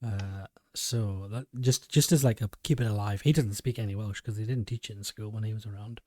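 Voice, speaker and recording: A man's voice: on the low side, fairly even intonation, brisk, dark tone, dry recording, studio quality. A man with a Geordie accent hosting a podcast, chatting casually and often restarting his sentences. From England. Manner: rambling, many restarts. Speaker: podcast host. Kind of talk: casual chat.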